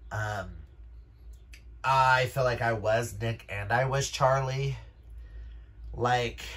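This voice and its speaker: A man's voice: fairly low pitch, breathy edge. A man chatting casually to viewers as a book vlogger, gushing and emphatic, with casual swearing. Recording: noisy room background.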